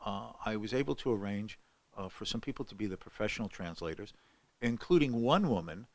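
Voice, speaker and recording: A man's voice: fairly low pitch, animated, a little fast, dry recording. Older man rambling, dry close sound. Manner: rambling, many fillers and restarts. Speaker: guest scholar. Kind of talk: podcast conversation.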